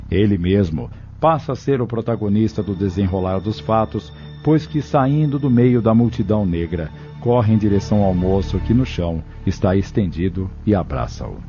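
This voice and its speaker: A man's voice: fairly low pitch, dark tone. A man giving scripted, dramatic narration for an audio novel. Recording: dull, lo-fi recording with music.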